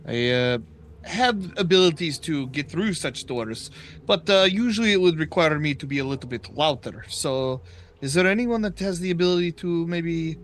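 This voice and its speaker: Man, tabletop player, slightly higher voice, halting, lots of uhs. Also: fairly bright tone, slight background noise. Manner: hesitant, full of uhs. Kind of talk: in-character tabletop roleplay chat.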